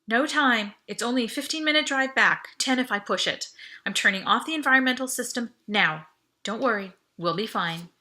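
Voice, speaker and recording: A woman's voice: a little high, moderate pace, pushed and bright. A woman voicing a capable, wry young space-cart driver in an audiobook, speaking with urgency and reassurance. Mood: reassuring. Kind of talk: audiobook character dialogue.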